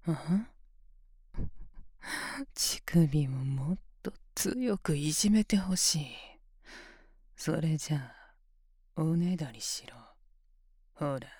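A domineering, scornful female examiner, played by a woman, talking close to the ear in a low, husky whisper. She takes her time, drawing out every taunt. The sound is dry, with no room around it. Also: lively intonation, slow pace, long pauses, a little mellow, no room sound, full character voice. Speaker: voice actor in an audio roleplay. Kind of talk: whispered ASMR roleplay.

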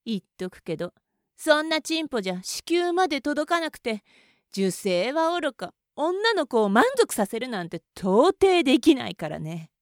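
A woman's voice: sits high, very animated, normal speed, no echo, studio quality. A woman playing a scornful, bossy young woman. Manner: contemptuous, mocking, bossy. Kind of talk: adult audio roleplay.

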